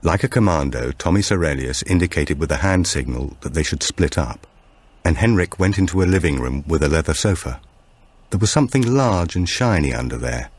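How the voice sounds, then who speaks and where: A man's voice: extremely low pitch, lively intonation, brisk, husky. A man narrating a supernatural thriller audiobook from a script.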